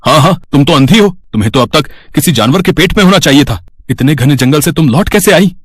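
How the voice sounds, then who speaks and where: A man voicing a callous, scheming young husband with a husky voice in a moral story. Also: on the high side, expressive, a little fast. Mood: mocking.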